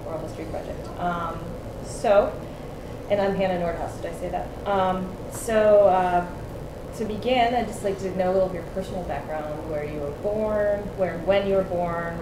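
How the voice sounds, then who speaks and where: A woman's voice: on the low side, moderate pace. An American woman asking questions informally and a little hesitantly as an oral history interviewer in a house. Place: room in a house, indoors. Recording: roomy, off-mic with clear background noise.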